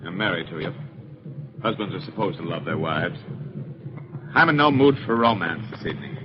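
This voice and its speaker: A man's voice: low voice, lively intonation, normal speed. A man playing a bitter, bored husband, curt, irritable and contemptuous, in old radio drama style. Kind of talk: 1930s radio drama acting. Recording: muffled old radio recording, heavy noise.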